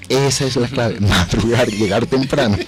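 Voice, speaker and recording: A Colombian man explaining things as a radio guest in a formal, courteous, teacherly way. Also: mid-range voice. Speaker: actor, voice trainer, guest. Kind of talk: explanatory interview talk. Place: radio station booth.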